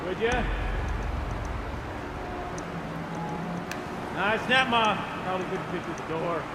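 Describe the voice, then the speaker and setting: An actor playing an eccentric, cheerful, chatty explorer in a video game, with a theatrical, wordy, rambling manner. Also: male voice, very high voice, reverberant, very noisy. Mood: wry, musing. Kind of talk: video game character dialogue.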